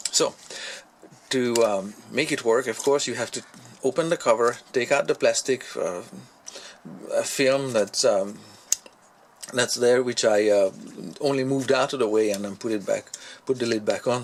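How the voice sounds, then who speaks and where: A man talks through a product in a casual, hands-on review at a workbench. His delivery is halting, as if working out each thought aloud, at a medium pitch. There is some noise behind him. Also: fairly bright tone. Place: indoor workshop at a workbench. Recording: clear background noise, handling clicks.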